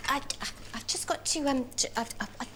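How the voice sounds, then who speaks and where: A woman's voice: high-pitched, a little fast, background noise, lightly acted. An actress playing a flustered mother who stammers and trips over her words, with a sarcastic edge. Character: flustered middle-aged mum juggling calls. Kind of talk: sitcom acting.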